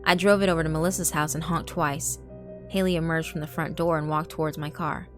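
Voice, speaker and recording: A woman narrating a first-person horror story in a measured, dark way, with hushed asides. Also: low voice, steady tone. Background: faint background music.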